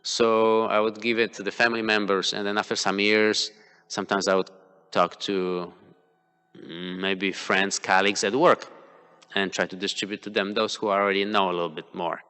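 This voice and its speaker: A man whose first language is Polish gives a devotional talk in a temple hall, full of pauses, fillers and restarts. His voice sits a little low but sounds bright and crisply clear. A long hall reverb trails behind every phrase. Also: level tone. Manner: hesitant, many fillers and restarts. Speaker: temple class speaker. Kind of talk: devotional class talk, personal examples.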